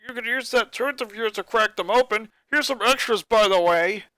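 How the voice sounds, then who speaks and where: Someone voicing a loud jeering schoolboy bully. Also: mid-range voice, moderate pace, no room sound, voice pushed up, close to an everyday voice, low-quality recording. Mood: mocking, shouting. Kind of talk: acted fan fiction reading.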